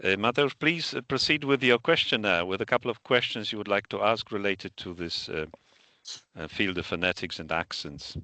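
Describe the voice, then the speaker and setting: Male host, bright tone, dry close sound, halting, formal wording. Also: lowish voice, no room sound. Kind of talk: hosting an interview.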